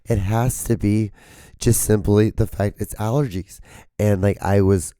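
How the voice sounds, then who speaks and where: Hoarse man with a cracking voice. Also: lowish voice, steady tone, measured pace, warm tone, dry recording. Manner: rambling, swears casually, strains to hold back his volume. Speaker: podcast host. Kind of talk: casual rambling solo podcast.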